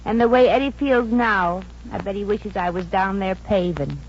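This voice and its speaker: Attrice che fa una fidanzata sfacciata, vecchia radio ovattata. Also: female voice, medium pitch, normal speed, natural voice. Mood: wry. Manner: slangy, sassy, flustered patter. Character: brash, wisecracking young working-class fiancée. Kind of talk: 1950s radio comedy acting. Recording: dull, noisy old radio recording.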